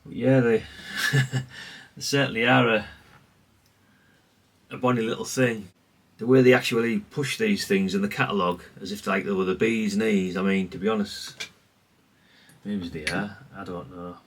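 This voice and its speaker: A man's voice: lowish voice, fairly even intonation, normal speed, far from the mic. A man giving a casual, rambling, understated show-and-tell, speaking off the cuff. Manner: rambling, self-effacing, understated. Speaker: hobbyist presenter.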